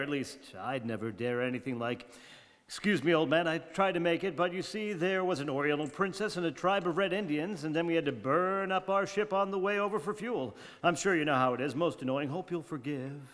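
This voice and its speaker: A man's voice: on the high side, fairly bright tone, natural voice. A man playing a grandiloquent, wry 1930s radio host in theatrical monologue, eloquent and self-mocking. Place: community theatre radio play staged live. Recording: reverberant hall.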